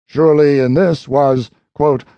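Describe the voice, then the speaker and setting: A man narrating an audiobook, reading a formal historical address in a measured, solemn, oratorical manner. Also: a little high, fairly even intonation, no echo, lo-fi sound.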